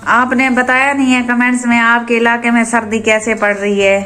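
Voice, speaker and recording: A hoarse woman chats to her viewers at home. Her voice is rough from a sore throat, and she talks in an easygoing, friendly way, heaping blessings on her subscribers. She comes from Pakistan, and soft music plays faintly behind her. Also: fairly high pitch, little pitch movement, normal speed, roomy sound. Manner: chatty, addresses viewers warmly with blessings. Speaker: vlogger and mother. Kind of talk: casual home vlog chat. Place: inside a home.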